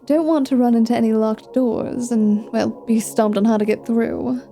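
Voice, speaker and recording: A woman voicing a flirtatious, mischievous vampire paladin in an ASMR roleplay, with a teasing, drawn-out delivery. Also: fairly high pitch, fairly even intonation, creaky, warm tone, faint music behind. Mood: scheming, teasing. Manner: slow, teasing, sultry delivery with drawn-out words.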